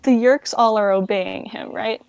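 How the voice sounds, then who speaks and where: A woman's voice: on the high side, steady tone, measured pace, vocal fry, darkish tone, clear, resonant tone, dry recording, lo-fi sound. An American woman giving an interview as a guest, chatting informally and thinking aloud. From United States. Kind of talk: casual chat.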